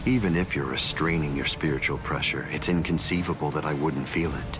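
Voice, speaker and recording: A man playing a grandiose, coldly arrogant godlike villain in an anime game dub, speaking formally in a measured, pompous way. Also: very deep voice. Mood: cold disappointment. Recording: loud music behind.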